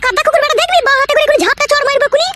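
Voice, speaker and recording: A woman voicing a quarrelsome, sharp-tongued village wife in a comedy cartoon, scolding coarsely in a squeaky, shrill voice. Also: racing, slight background noise. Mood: angry scolding.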